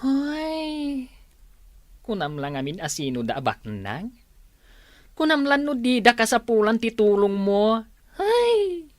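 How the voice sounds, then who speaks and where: A woman's voice: on the high side, very animated, slow, pauses often, no echo. A woman with an Ilocano accent playing a grumbling, scornful character full of exclamations in an audio drama. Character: grumbling, scornful woman given to sharp retorts.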